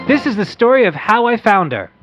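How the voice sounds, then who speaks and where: A woman's voice: fairly high pitch, sing-song, a little fast, highs cut off, faint music behind. A woman playing an eager, wholesome young boy radio host in an old-fashioned radio play reading. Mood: eager, cheerful.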